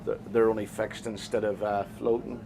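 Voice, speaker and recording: A man's voice: fairly low pitch, flat delivery, moderate pace, gravelly, crowd noise. A man with a Northern Irish accent, a boat skipper answering interview questions in a plain, hesitant way with many fillers. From Northern Ireland. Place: on a small boat offshore.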